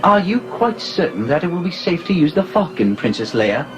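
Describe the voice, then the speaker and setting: An actor voicing a prim, deferential protocol droid with a British accent and formal, over-polite diction in an audio drama. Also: male voice, on the high side, big pitch swings, normal speed, music in the background. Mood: polite concern.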